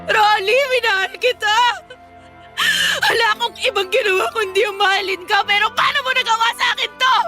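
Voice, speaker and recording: A woman's voice: extremely high pitch, brisk. A woman playing an outraged, anguished betrayed wife and mother in a Filipino radio drama, pleading and accusing. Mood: hurt, tearful. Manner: emotional, pleading and accusing delivery. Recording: dramatic music behind.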